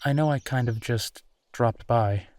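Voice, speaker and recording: A man's voice: mid-range voice, unhurried, darkish tone, close to an everyday voice. A man playing a friendly, easygoing werewolf in a roleplay audio drama, talking casually and reassuringly with small hesitations. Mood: easygoing, considerate. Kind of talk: roleplay audio drama, natural one-sided conversation.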